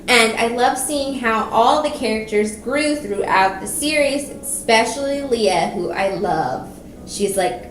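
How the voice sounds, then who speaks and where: Chatty enthusiastic woman doing a book review vlog, takes her time, roomy echo and a bit of noise behind her. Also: on the high side. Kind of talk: casual video book review.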